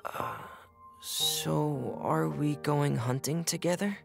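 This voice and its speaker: A man playing a placid, modest angler in a game, with an unhurried, low-key manner. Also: vocal fry, over music, heavily acted. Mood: calm, modest. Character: placid, steady, modest adult who likes fishing. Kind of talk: game voice acting.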